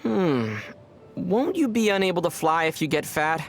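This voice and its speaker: A man playing a calm young traveler hero in an anime video game, gently teasing in a measured way. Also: high-pitched, over loud music. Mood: curious.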